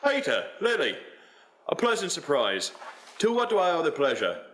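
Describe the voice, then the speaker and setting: A man's voice: on the high side, wildly swooping pitch, moderate pace, a bit bright, slight background noise. A man playing a shrewd, haggling Victorian pawnbroker in a radio play, wheedling and theatrical. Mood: sly haggling. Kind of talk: stage radio play acting.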